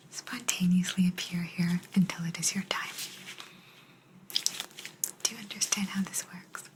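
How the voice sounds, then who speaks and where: A woman playing a gentle, patient alien abductor in a soft, breathy ASMR whisper, confiding closely in the listener. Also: fairly low pitch, slight background noise, natural voice, poor audio. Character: gentle, apologetic female alien abductor explaining a simulation.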